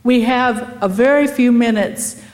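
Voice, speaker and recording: A woman's voice: fairly high pitch, measured pace, a little mellow. A woman hosting a memorial gathering, reading a tribute and addressing those present. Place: memorial gathering at an art center.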